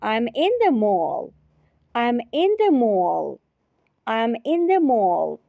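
A woman teacher repeating short phrases slowly and carefully in a repetition drill. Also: fairly high pitch, sing-song, creaky, warm tone, no echo. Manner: repeats each phrase three times, carefully.

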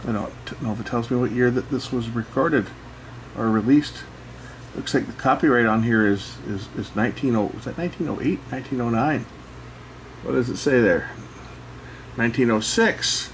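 Man rambling over clear background noise. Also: mid-range voice, moderate pace, low-quality recording. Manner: rambling, thinking aloud. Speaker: host showing old records. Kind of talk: casual hands-on commentary. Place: indoors at a cluttered electronics workbench.

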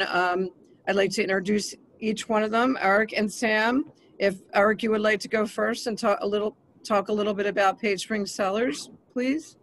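A woman who organizes the trip, hosting the webinar in a halting, hesitant way. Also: medium pitch, a little hiss or hum, poor audio. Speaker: travel agent and organizer.

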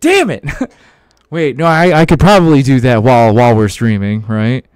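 Joking co-host man with a smooth voice. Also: mid-range voice, animated, a little mellow, dry recording, clean studio sound. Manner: joking, teasing, quick laughs. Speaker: co-host of a history reading stream. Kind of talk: casual livestream banter. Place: room at home.

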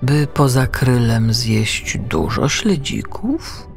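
Male reader as orderly father humpback, gently quizzing, measured and creaky, children's audiobook. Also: mid-range voice, lively intonation, faint music behind. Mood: earnest, checking. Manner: slow, deliberate questioning.

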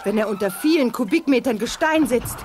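An actress playing an alert, plucky adventure heroine in a children's sci-fi radio drama, earnest, brisk and dramatic. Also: female voice, on the high side, expressive, background noise, close to an everyday voice. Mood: watchful.

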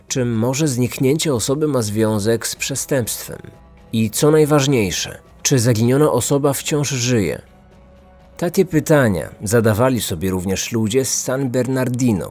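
A man's voice: medium pitch, darkish tone. A man hosting a podcast and reading scripted true-crime narration. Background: faint music.

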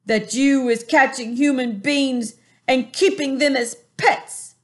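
A woman voicing a huge, menacing male giant who taunts in a booming voice. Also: steady tone, slow pace. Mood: suspicious.

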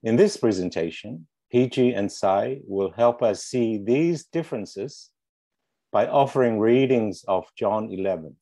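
A man's voice: fairly low pitch, lively intonation, unhurried, mellow, dry recording. A middle-aged man giving a scripted academic presentation over a headset on a video call. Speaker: panel presenter. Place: small room indoors, video call. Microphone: earphone headset.

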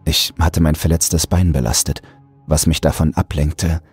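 Male horror narrator, extremely low pitch, slow, deliberate, brooding audiobook reading. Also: steady tone, darkish tone, slightly rough, faint music behind.

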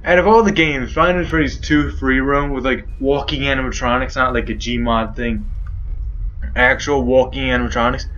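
A man's voice: medium pitch, fairly even intonation, moderate pace, a bit bright, highs cut off. A young man doing rambling, joking commentary while playing a horror game. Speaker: gaming youtuber. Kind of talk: let's play commentary.

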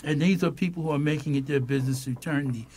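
A man's voice: a little high, fast, a little mellow, slight background noise. An older man hosting a radio talk show from a small studio, musing at length into a studio mic. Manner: musing, speechifying. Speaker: radio host. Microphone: studio mic on boom.

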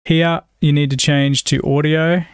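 Clear-voiced Australian man presenting a tutorial. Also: on the high side, little pitch movement, measured pace, no room sound, studio quality. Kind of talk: casual screen-recorded software tutorial.

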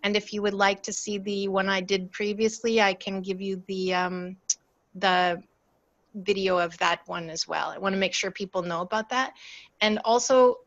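A woman with a mid-range voice coaches in a calm, measured and encouraging way. She speaks over a video call, and the audio sounds a little dull. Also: medium pitch. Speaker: storytelling coach and workshop host. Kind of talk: online workshop teaching.

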